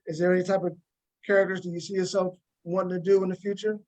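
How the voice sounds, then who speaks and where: A male interviewer with a high-pitched voice chats casually on a video call. He hesitates and circles back over his own words as he puts questions. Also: flat delivery, quick pace, a little mellow. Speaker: interviewer, show host.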